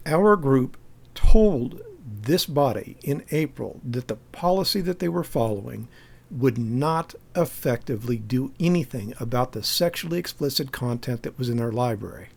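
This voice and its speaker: An American man speaks as an activist commentator, answering point by point. His delivery is emphatic and argumentative, with a mid-pitched voice and a pointed way of stressing his objections. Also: vocal fry, darkish tone. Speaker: activist commentator giving a response. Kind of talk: spoken rebuttal commentary.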